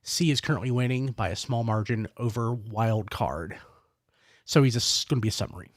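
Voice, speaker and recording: A man's voice: mid-range voice, fairly quick, no room sound, clean studio sound. A man hosting a casual toy podcast while sick, stuffy and a little congested, rambling in a chatty way. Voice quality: stuffy nose. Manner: lively, rambling, self-deprecating. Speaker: podcast host. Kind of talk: casual roundtable chat.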